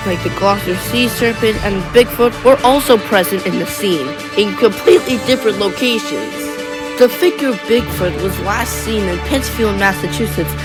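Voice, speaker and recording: A woman's voice: fairly high pitch, lightly acted. A woman playing a breaking-news anchor, giving a formal, presentational scripted TV report. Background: music behind the voice.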